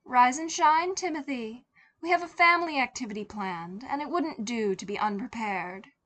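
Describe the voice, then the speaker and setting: A woman's voice: a little high, moderate pace, fairly bright tone, voice pushed up, natural voice. A woman voicing a prim, wealthy mother with a formal, clipped, airily condescending manner in an audiobook-style story. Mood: brisk, bright. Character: prim, coolly commanding wealthy mother of a young boy.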